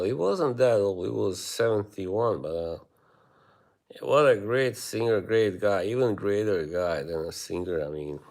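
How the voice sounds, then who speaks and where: A man from Bosnia with a Bosnian accent, chatting casually with viewers on a live stream, rambling and halting with many fillers. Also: deep voice, vocal fry, darkish tone.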